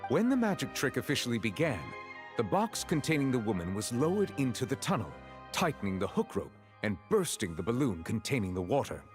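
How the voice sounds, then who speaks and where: An actor voicing a stern, formal chief justice who lays out a case in a video game. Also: male voice, medium pitch, very animated, faint music behind, natural voice. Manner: measured, formal, deliberate.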